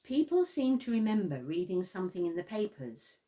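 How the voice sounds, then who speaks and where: A woman's voice: medium pitch, very animated, fairly quick, mellow, natural voice. Woman playing lilting job interviewer. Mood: curious, tentative. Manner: politely probing, corporate. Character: polite, probing female careers interviewer, slightly awkward southerner. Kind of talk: radio drama acting.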